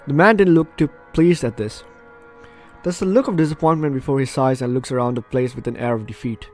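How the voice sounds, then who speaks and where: A man's voice: mid-range voice, fairly quick, darkish tone. A man hosting a let's play, reading a visual novel aloud and breaking off into chatty, reactive asides. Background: faint game music.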